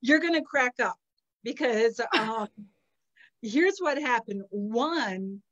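A middle-aged woman on a video call from an outdoor terrace, a little sniffly, chatting casually and telling animated stories with frequent laughter. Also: on the high side, slow pace, lo-fi sound. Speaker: psychic channel host. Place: outdoor terrace with potted plants. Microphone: laptop webcam on a video call.

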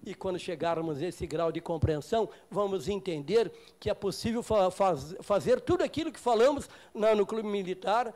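An older man with a Brazilian accent giving an improvised health lecture, emphatic and halting, often repeating and rephrasing himself. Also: high-pitched, darkish tone. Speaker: lecturer, doctor. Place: meeting room with projector screen, indoors.

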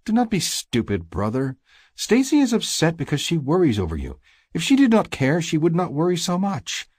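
A man's voice: on the high side, huge pitch swings, moderate pace, darkish tone, slightly rough, no room sound, studio quality, pitched above the performer's own voice, full character voice. A man voicing a bossy, blunt young sister in an audiobook, lecturing in stiffly formal phrases and commands. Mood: bossy, exasperated.

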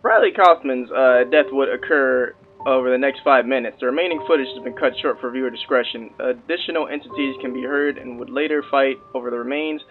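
A man reads a formal case file aloud in a medium-pitched voice, hesitating slightly. The sound is narrow and phone-like, with faint music behind it. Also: brisk, hardly any pauses. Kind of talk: reading a case report aloud.

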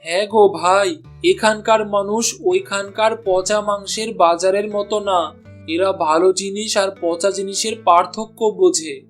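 A man's voice: high voice, fairly even intonation, normal speed, soft background music, natural voice. A man voicing an honest, struggling village meat seller in a cartoon. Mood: earnest.

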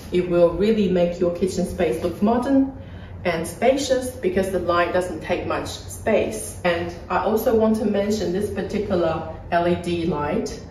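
A woman gives a casual, explanatory tour of a renovated kitchen, pointing things out as she goes. Her voice sits a little low and carries from a few feet off the phone mic. The bare room adds a noticeable echo. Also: a little mellow, distant mic, a little hiss or hum. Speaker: property flipper showing a renovation. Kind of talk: casual home tour walkthrough. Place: bright empty renovated kitchen, indoors. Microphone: phone camera held a few feet away. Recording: echoey room, voice a bit off-mic.

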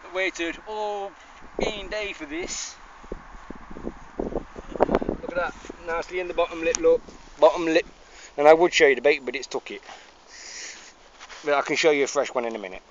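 Bloke doing sweary excitable fishing commentary, muffled cheap recording, really noisy outdoors. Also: male voice, fairly high pitch, normal speed, pauses often. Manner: sweary, excitable, talks to the fish. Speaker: angler presenting his fishing video. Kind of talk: casual running commentary while fishing. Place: outdoors on a lake bank. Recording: dull lo-fi audio with loud outdoor noise.

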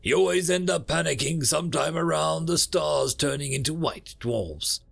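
A man's voice: fairly high pitch, moderate pace, creaky, pitched above the performer's own voice. A man voicing the universe as a hesitant, polite, apologetic cosmic being in a sci-fi story reading. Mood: matter-of-fact, explaining.

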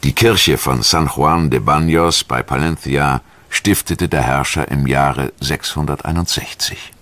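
A man reading scripted historical documentary narration in a measured, solemn and formal manner. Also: extremely low pitch, steady tone, fairly bright tone, no room sound. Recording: music behind the voice. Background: background music.

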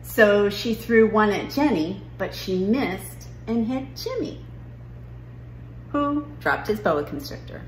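A woman's voice: medium pitch, lively intonation, takes it slowly. A woman reading a children's picture book as a nonchalant young schoolgirl, matter-of-factly recounting a farm trip. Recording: roomy living room with noise.

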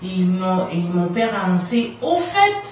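A middle-aged woman from the Democratic Republic of the Congo telling personal stories casually as an interview guest, in an animated way. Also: mid-range voice, normal speed. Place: small radio studio room, indoors. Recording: very echoey room, distant, faint noise.

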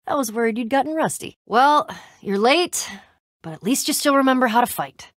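Casting: tough, loyal young female game warrior; high, very animated voice, sarcastic banter, dry close recording. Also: normal speed, no echo. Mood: teasing, reproachful. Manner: cocky, sarcastic, slangy banter. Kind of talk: animated video game character acting.

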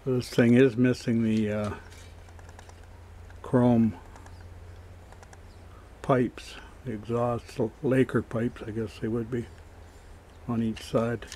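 A man giving a casual show-and-tell as a toy collector, low-key and matter-of-fact, on an amateur camera microphone. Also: flat delivery, slow, long pauses, mellow, slight background noise. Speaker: toy collector showing his finds.

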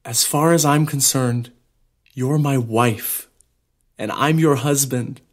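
An actor playing a loving, joking young groom in a naturalistic audio drama. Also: male voice, medium pitch, slow pace. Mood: reassuring.

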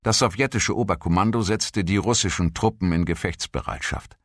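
A man reading scripted historical narration as a documentary narrator, in a measured, formal manner. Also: deep voice, dry recording, studio quality. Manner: measured, formal read-out delivery.

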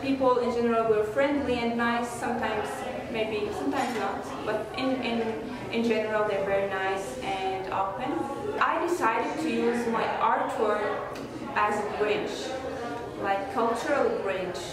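A woman's voice: fairly high pitch, measured pace, crowd noise. A young woman from Syria with a Syrian Arabic accent, talking in a friendly, chatty way in an interview in a gallery. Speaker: artist. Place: gallery room, indoors. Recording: echoey room.

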